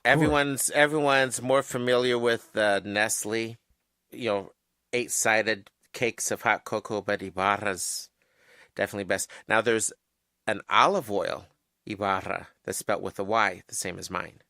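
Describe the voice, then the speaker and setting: A man co-hosting a fan podcast in a loose, chatty way. His voice is low yet bright and forward, with a dry, close sound and no room around it. He likes to explain details patiently. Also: fairly even intonation, measured pace, no room sound. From Mexican-American family. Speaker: podcast co-host. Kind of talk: casual chat.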